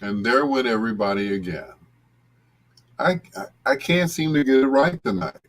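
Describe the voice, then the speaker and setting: A man's voice: medium pitch, big pitch swings, normal speed, low-quality recording. A man hosting a casual livestream, greeting viewers by name in a folksy way. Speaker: host of a bedtime stories livestream.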